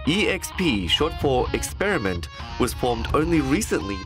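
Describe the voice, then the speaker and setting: A man narrating a short feature, with a lively, upbeat delivery. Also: medium pitch, normal speed. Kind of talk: scripted narration. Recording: music playing underneath.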